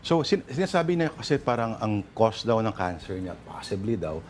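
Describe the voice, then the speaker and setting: A man's voice: lowish voice, very animated, fairly quick, darkish tone. Filipino man co-hosting, faint room noise. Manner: conversational, musing, explanatory. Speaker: news talk show co-host. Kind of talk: casual news commentary. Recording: faint background ambient noise.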